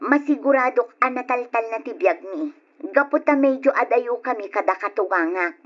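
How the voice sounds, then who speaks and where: A woman's voice: a little high, animated, moderate pace. A woman acting a discontented, nagging young wife and mother in an Ilocano radio drama, with an Ilocano accent. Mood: weary complaining. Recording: dull, lo-fi old radio recording.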